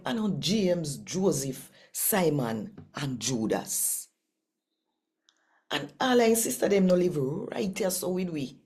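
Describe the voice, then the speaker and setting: A woman's voice: on the low side, dark tone. A woman with a Jamaican accent, hosting a devotional channel and reading scripture aloud. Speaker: channel host and scripture reader.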